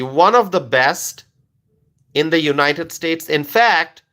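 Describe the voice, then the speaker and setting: A man from Bangladesh with Bengali as his first language hosts a political live stream, his voice sitting a little high and ringing very bright. He drives his points home by repeating them, and he keeps checking in with his viewers. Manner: repetitive, emphatic, checks in with "okay?", answers chat questions. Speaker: livestream political commentator.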